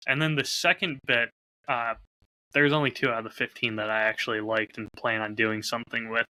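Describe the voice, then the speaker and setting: A man's voice: mid-range voice, steady tone, fairly quick, sharp tone, low-quality recording. A man in casual podcast conversation, talking as a host in an upbeat, enthusiastic way about his own work. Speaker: podcast host and audio drama creator.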